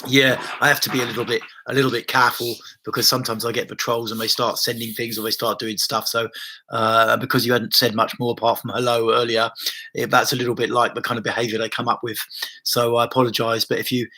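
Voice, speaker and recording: A man's voice: medium pitch, little pitch movement, fast, sharp tone, a little hiss or hum. A man, a body-based therapist chatting loosely and rambling through viewer comments on his livestream. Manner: rambling, loose and conversational with frequent restarts and swearing. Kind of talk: casual livestream chat answering viewer comments. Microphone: laptop mic.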